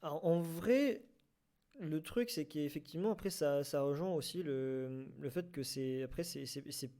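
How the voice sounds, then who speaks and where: A man's voice: fairly high pitch, unhurried, dark tone. A man in a casual debate, often groping for the right word and repeating himself. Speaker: panelist in a debate. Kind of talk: casual debate talk about audio fiction.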